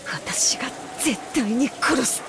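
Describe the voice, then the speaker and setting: An actress playing a furious, grieving teenage tomboy with raw, vengeful delivery in an anime visual novel. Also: female voice, high voice, animated, moderate pace, background noise. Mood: grim vengeful. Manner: fierce, vengeful, emotionally raw.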